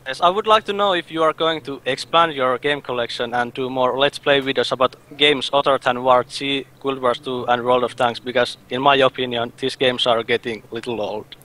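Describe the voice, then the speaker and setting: Bright-voiced man asking questions. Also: mid-range voice, fairly even intonation, a little fast, hardly any pauses. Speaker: guest asking the host questions. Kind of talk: polite unscripted question.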